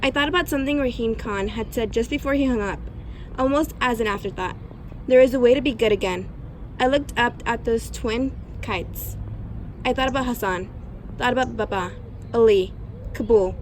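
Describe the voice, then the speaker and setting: A woman's voice: a little high, normal speed, lightly acted. A woman reading a remorseful narrator's memories of boyhood as scripted readers' theatre. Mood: remorseful, reflective. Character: remorseful Afghan narrator recalling his boyhood. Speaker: student performer. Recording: echoey room, faint background noise.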